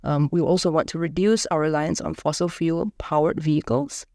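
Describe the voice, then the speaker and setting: A woman, explaining her research in a measured way with frequent fillers and restarts. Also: sits low, creaky. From Singapore. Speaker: engineering researcher. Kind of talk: explanatory interview talk.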